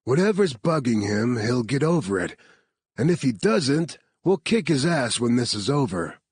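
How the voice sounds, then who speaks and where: A man voicing a steady, reassuring male teammate in an audiobook. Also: mid-range voice, normal speed, slightly rough, no room sound, voice pushed up.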